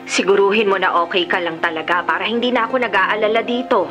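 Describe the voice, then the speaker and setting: A woman's voice: mid-range voice, steady tone, quick pace, over music, natural voice. A woman playing a caring, fretful middle-aged aunt on a phone call, with a Filipino accent, in a radio drama. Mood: concerned. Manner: motherly, fussing.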